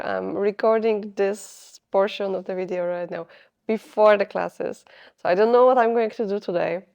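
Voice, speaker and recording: A woman's voice: mid-range voice, creaky, darkish tone. A young woman with a Polish accent, talking unscripted to camera in a rambling way, stopping often to search for words. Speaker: vlogger. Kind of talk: unscripted casual vlog talk.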